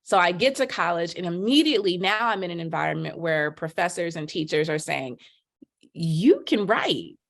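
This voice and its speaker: A woman with a somewhat low voice tells her story in casual podcast talk. She is animated and expressive, leaping up in pitch whenever she acts out what others said. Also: moderate pace, vocal fry. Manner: animated, voices quotes with big pitch leaps. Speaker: podcast guest.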